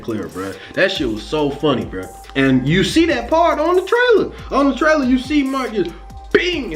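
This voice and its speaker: An American man giving casual, animated fan commentary in slangy, profane, conversational speech, acting out lines as he retells a story. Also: high voice. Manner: animated, conversational, acts out lines and sound effects. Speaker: host of a comics and animation channel.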